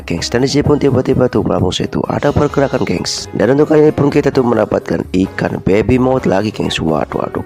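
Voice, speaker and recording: Fast-talking, nasal man with a cold. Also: mid-range voice, vocal fry, darkish tone. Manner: chatty, keeps saying "gengs", sighs "waduh, waduh". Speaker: fishing vlogger. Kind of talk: casual voice-over narration.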